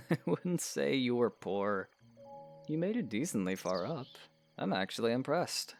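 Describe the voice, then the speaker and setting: A man playing a calm, stoic young swordsman in an audio drama, patient but firm, with measured, plain speech. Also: medium pitch, faint music behind. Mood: patient, reassuring. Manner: measured, plain-spoken, understated. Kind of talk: scripted audio drama acting.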